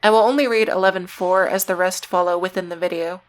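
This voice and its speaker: A woman's voice: fairly low pitch, steady tone, fast. A woman narrating a scripted video essay as its presenter, with casual, conversational asides. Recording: music behind the voice. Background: background music.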